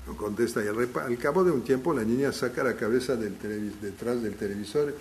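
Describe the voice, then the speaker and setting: A man lectures to a room in a language that is not his first, pausing and restarting his phrases as he works out each thought. His pitch sits in an ordinary middle range, and a faint hum of noise runs behind him. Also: a little mellow. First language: not Spanish. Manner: halting, full of restarts while thinking aloud. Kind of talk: live lecture to an audience.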